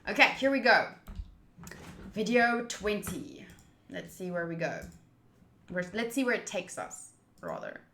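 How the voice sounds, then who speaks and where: A woman hosts a game playthrough in an informal, streamer's way. Her voice is bright and of middling pitch. The room around her adds a noticeable echo. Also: big pitch swings, a little slow, creaky, long pauses, slight background noise. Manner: informal, chatty, thinks aloud. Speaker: streamer, visual novel playthrough host. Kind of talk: casual livestream chat and reading game text aloud.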